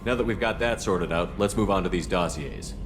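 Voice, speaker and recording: Brief: male actor for game's stern commander, low voice, quick clipped delivery, music underneath. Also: natural voice. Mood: serious, guarded. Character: stern, decisive military commander, adult man. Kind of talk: video game voice acting.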